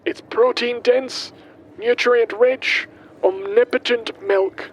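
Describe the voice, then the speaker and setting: A man playing an eccentric milkman who delivers his taped last will in a grandiose, ominous, theatrical way, in audio drama style. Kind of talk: scripted audio drama monologue. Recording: noisy taped message with background rumble.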